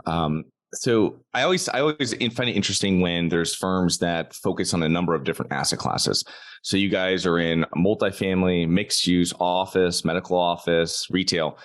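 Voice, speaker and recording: A man hosting a podcast, giving a brisk scripted sales pitch and asking guests questions. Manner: brisk sales pitch, repeats the web address. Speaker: podcast host. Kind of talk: scripted ad read and interview.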